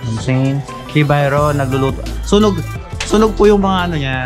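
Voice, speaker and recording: A man's voice: fairly high pitch, lively intonation, normal speed, over music. A young man hosting a casual vlog with friends, joking and hyping them up, filmed on an action camera. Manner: playful, joking, hypes up friends. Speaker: vlogger host. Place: beach, car and home patio.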